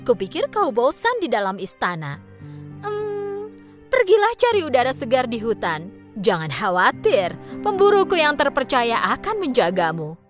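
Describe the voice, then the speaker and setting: Wicked queen, acted by a woman, high voice, swooping intonation, imperious, vain, theatrical, cartoon storybook. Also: huge pitch swings, normal speed, soft background music. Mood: falsely sweet. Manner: theatrical commanding delivery with feigned sweetness.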